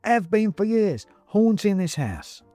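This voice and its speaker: Storybook role: male reader as a nosy, genteel little china ghost, chatty and politely needling, very animated pitch, close dry sound over music. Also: takes it slowly, no echo, heavily acted. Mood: chatty, matter-of-fact. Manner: genteel, old-fashioned phrasing, needling politeness.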